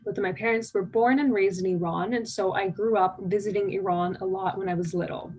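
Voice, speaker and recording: A woman's voice: mid-range voice, fairly even intonation, a little fast, a little mellow, slight background noise, low-quality recording. A nineteen-year-old woman from the United States giving a conversational conference keynote, eager and full of fillers. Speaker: keynote speaker.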